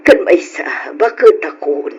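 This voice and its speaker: An actress playing a worried, devout elderly grandmother in an Ilocano radio drama, with a husky voice and a Filipino accent. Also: low voice, big pitch swings, takes it slowly, a little mellow, exaggerated voice. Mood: resigned, devout. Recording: dull lo-fi radio sound.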